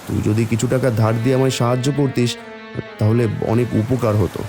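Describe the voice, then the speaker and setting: A man playing a kind, humble village vegetable seller and father in an animated story, speaking in an earnest, pleading way. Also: medium pitch, quick pace, music in the background, natural voice. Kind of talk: animated Bengali moral story dialogue.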